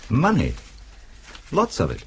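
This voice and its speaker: An actor playing a wry, urbane English husband with an English accent and dry, ironic manner in a radio spy drama. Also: male voice, mid-range voice, huge pitch swings, slow, gravelly, a little hiss or hum, exaggerated voice. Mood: wry, amused.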